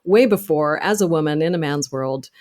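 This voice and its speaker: A woman's voice: low voice, moderate pace, darkish tone. A woman in her thirties speaking as an interview guest, explaining things in an articulate, detailed and passionate way. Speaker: interview guest, actor and author. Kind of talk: conversational interview, storytelling.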